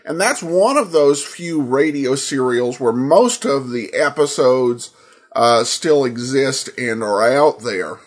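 An American man hosting a podcast with informal commentary, hesitant and full of filled pauses. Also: mid-range voice, lively intonation, husky. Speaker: podcast host.

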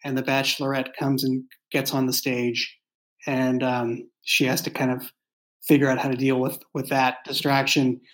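A man in a casual behind-the-scenes discussion, talking as a writer and explaining things in a halting way, often backing up to rephrase. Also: medium pitch, monotone, fairly bright tone, poor audio. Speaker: fiction podcast writer and creator.

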